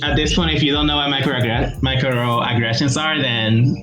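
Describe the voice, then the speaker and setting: A man from Puerto Rico with a Puerto Rican accent, chatting casually as a guest, animated and laughing often, with many "like" fillers. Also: mid-range voice, normal speed, over music, poor audio. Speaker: guest YouTuber. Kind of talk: casual video-call conversation.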